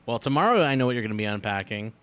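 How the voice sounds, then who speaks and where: A man's voice: mid-range voice, lively intonation, very fast, a bit bright, clean tone, no echo. A man co-hosting a live stream, chiming in with short, dry, teasing remarks. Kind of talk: casual livestream chat.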